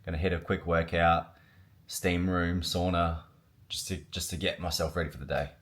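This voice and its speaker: A man's voice: sits low, level tone, moderate pace. A young man from Australia narrating his own business vlog in a candid, upbeat, casual way, with the odd swear word. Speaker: vlogger and business owner.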